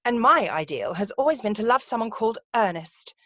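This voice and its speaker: A woman's voice: on the high side, lively intonation, brisk, fairly bright tone, dry recording. A woman playing a self-assured, romantic young upper-class lady in an amateur play read-through, speaking precisely and emphatically over a video call. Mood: adoring. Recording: muffled, dull video-call audio.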